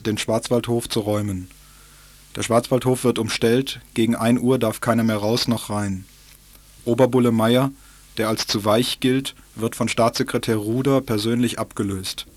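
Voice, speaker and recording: Flat-voiced male radio narrator. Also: mid-range voice, moderate pace, no room sound. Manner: even, steady reading. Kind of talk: documentary news narration.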